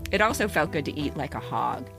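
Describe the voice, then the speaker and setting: A woman's voice: deep voice, animated, a little fast, gravelly, music in the background. A woman in her fifties reading a scripted personal essay as a podcast co-host, with a candid, wry, self-deprecating manner. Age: 50s.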